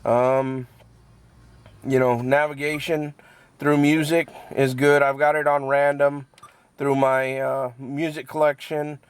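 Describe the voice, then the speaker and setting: A man giving a casual, unscripted product review, halting as he thinks aloud and repeats words, in a matter-of-fact, mildly critical way. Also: mid-range voice, little pitch movement, clear, resonant tone, no echo. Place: inside a truck cab.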